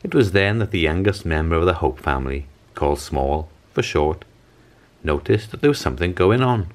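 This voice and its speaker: A man reading a picture book aloud in an animated, dramatic storytelling manner. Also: deep voice, moderate pace. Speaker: storybook reader. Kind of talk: expressive picture-book narration.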